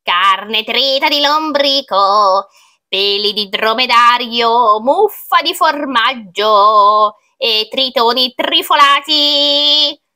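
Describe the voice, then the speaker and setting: A woman voicing a boastful, gloating storybook witch with a theatrical, drawn-out, taunting delivery. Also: a little high, animated, bright, forceful tone, heavily acted. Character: gloating, boastful female witch cooking disgusting soups.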